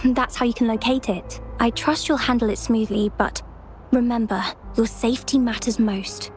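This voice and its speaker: An earnest, polite young researcher, voiced by a woman explains things carefully in a video game cutscene. Her voice sits fairly high. Game music plays clearly behind her. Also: fairly even intonation, normal speed, lightly acted. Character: earnest young female field researcher, worried.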